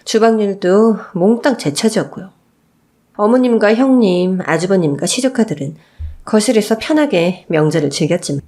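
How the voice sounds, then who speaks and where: A woman's voice: fairly low pitch, mellow. A woman reading a scripted first-person family story as a radio-style narrator.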